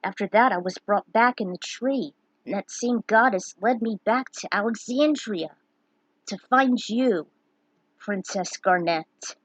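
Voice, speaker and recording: A woman's voice: medium pitch, unhurried, dry recording, lightly acted, rough recording. A woman voicing a brave, earnest young male adventurer in a storybook reading. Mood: earnest, proud.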